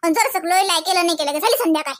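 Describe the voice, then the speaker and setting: A woman's voice: extremely high pitch, racing, no echo, studio quality. A woman playing a gossipy, complaining cartoon village housewife who chatters colloquially in a Varhadi Marathi accent. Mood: resigned, chatty. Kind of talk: comic cartoon village dialogue.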